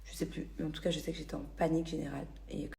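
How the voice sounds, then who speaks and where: A woman's voice: low voice, little pitch movement, rapid-fire, gravelly, mellow. A woman from the Paris region casually telling stories to her followers on a phone, with many fillers and restarts. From Paris region, France. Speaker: vlogger.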